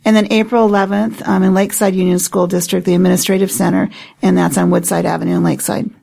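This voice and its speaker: A woman guest on a talk show reading out hearing dates and places matter-of-factly, with filler pauses. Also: on the low side, flat delivery, vocal fry, mellow. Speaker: panel guest. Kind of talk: reading out event details on radio.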